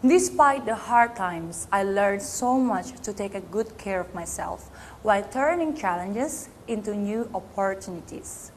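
A young woman with an Indonesian accent giving a slow, carefully rehearsed greeting in English, played back as a clip. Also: mid-range voice, slight background noise. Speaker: pageant contestant giving a video greeting. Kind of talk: scripted formal greeting.

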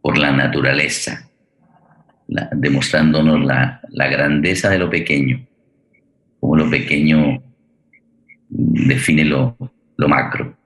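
Very deep-voiced, halting male radio host. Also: fairly even intonation, fairly bright tone, low-quality recording. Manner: halting, wordy, formal and courteous. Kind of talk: unscripted, welcoming introduction of a guest and play.

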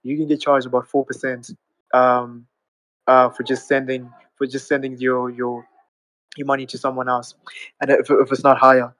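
A man's voice: mid-range voice, flat delivery, moderate pace, fairly bright tone, dry recording, poor audio. A man, earnestly explaining things step by step in a casual live audio chat. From Zimbabwe. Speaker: audience speaker.

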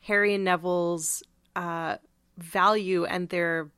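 A woman's voice: lowish voice, fairly even intonation, slow, fairly bright tone, clean tone, no room sound, studio quality. A woman co-hosting a podcast, speaking casually and thoughtfully, feeling out her phrasing as she discusses. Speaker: podcast co-host. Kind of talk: casual conversational literary discussion.

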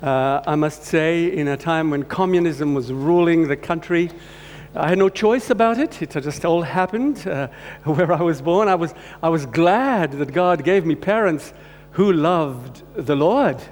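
A man from Croatia giving a personal testimony sermon as a guest speaker, talking haltingly with many restarts. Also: fairly high pitch, animated, a little mellow. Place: church hall. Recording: long hall reverb, faint hum.

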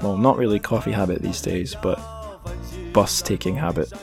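Low-voiced man, self-deprecating podcast host. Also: normal speed, creaky, over music. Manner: self-deprecating, jokey, rambling. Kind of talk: casual solo podcast outro, chatty plugs for the show.

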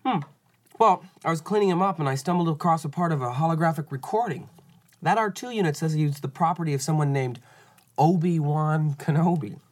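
A man's voice: on the high side, fairly quick, natural voice. An actor playing a curious, dreamy young farm boy in a radio drama, with an earnest, wondering manner. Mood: eager, questioning. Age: young adult.

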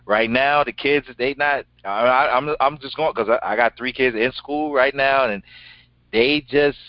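A middle-aged man with a deep voice co-hosting a casual show, talking in an emphatic, slangy way and repeating his phrases. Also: no room sound, lo-fi sound. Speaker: show co-host. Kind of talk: casual, unscripted conversation.

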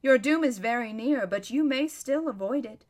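A woman voicing an immense, solemn male lion in a storybook, merciful and quietly commanding, giving grave, deliberate warnings. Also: a little high, natural voice. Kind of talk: storybook read-aloud.

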